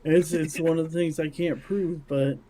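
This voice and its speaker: A man's voice: fairly high pitch, fairly even intonation, moderate pace, warm tone, rough recording. A man co-hosting a podcast, talking in a casual, conversational way. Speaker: podcast co-host. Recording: faint background noise.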